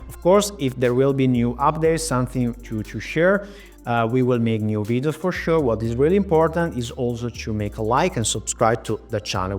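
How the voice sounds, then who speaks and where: Man explaining over music. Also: mid-range voice. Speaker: immigration lawyer presenting a video. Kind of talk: informal explanatory talk to camera.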